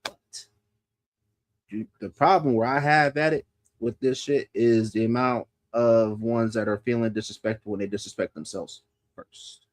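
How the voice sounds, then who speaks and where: A man's voice: mid-range voice, steady tone, moderate pace, no echo. Profane laughing man in his thirties. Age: 30s. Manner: loose, profane, laughing often. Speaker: podcast host. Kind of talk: casual podcast chat.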